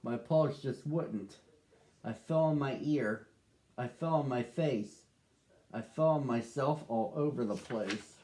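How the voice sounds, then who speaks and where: A man's voice: medium pitch, slow pace, warm tone, close to an everyday voice. A man reading as a lonely shelter dog. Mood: wistful. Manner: earnest storybook reading. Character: sad lonely young male pet-shop dog.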